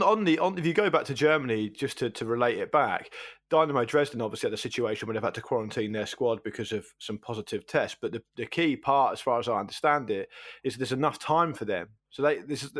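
A man's voice: medium pitch, a bit bright, no echo. A man chatting casually as a football podcast panellist, wordy and often circling back over his words.

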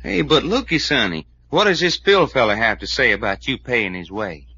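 A wisecracking detective sidekick in an old radio play. He is blunt and folksy, with a raspy, forceful, lively drawl, caught on a muffled vintage recording. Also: medium pitch, moderate pace, dry recording, full character voice. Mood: sardonic. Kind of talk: 1940s radio drama acting.

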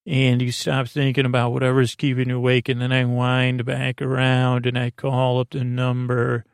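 A man hosting a podcast with a goofy, improvised bedtime monologue, halting and meandering as he repeats himself and trails off mid-word. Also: medium pitch, monotone, gravelly, clear, resonant tone, no echo, clean studio sound. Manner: goofy, silly, self-deprecating. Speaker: podcast host.

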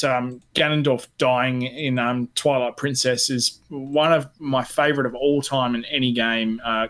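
Earnest, rambling man with mid-pitched voice. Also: a bit bright. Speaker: podcast co-host. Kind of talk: casual gaming chat, anecdotal.

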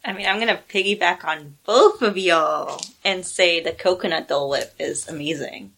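A woman from an island, chatting casually in an animated, expressive way. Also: on the low side, normal speed, creaky. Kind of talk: casual chat.

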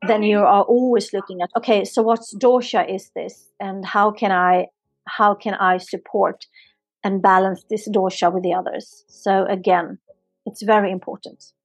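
A woman's voice: mid-range voice, steady tone, moderate pace, no echo, faint music behind. A woman from Sweden with a Swedish accent, a yoga therapist and physiotherapist giving earnest, flowing answers in a podcast interview. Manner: earnest, flowing, repeats herself for emphasis.

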